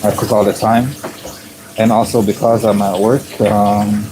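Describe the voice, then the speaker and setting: Raspy low-voiced man narrating kitchen work. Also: unhurried, background noise. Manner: relaxed play-by-play, frequent throat clearing and ums. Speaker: chef livestreaming from work. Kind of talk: casual live-stream narration.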